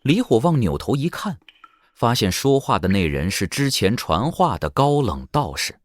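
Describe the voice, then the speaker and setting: A man's voice: medium pitch, sing-song, normal speed, no echo, clean studio sound. A man narrating an audio drama in a dramatic, scripted storytelling style. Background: background music.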